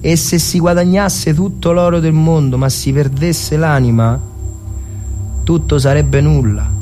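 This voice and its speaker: A man's voice: fairly high pitch, unhurried, mellow, natural voice. A man as devout soldier husband, over music. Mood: solemn, pious. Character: devout, simple, lovesick soldier husband writing to his wife. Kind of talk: dramatic reading of a wartime letter.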